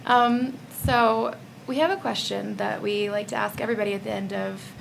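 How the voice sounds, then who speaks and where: A woman's voice: mid-range voice, steady tone, moderate pace, vocal fry, background noise. A woman hosting a casual podcast roundtable discussion. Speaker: host.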